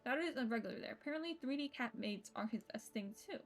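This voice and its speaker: A woman's voice: on the high side, animated, fast. A woman streamer reading a visual novel's narration aloud live. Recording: music under the voice. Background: game music.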